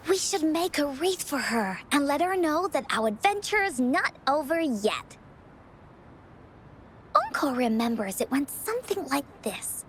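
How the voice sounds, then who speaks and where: High-pitched, bubbly little adventurer girl. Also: a little hiss or hum, heavily acted. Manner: childlike, excitable, refers to self in third person. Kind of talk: animated video game character acting.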